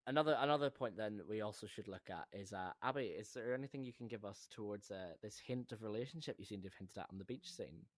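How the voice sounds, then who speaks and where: A low-voiced man co-hosts a casual behind-the-scenes discussion, recorded very dry. He is chatty and rambles as he thinks aloud, like a director talking through his work. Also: fast, hardly any pauses, no room sound. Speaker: audio drama director and co-host.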